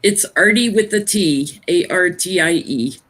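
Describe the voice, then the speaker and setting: Loud-voiced male tarot reader, chatty, home laptop stream. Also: high-pitched. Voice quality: naturally loud voice. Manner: chatty and rambling, quick to laugh. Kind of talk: casual live stream chat. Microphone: laptop, sitting back from it.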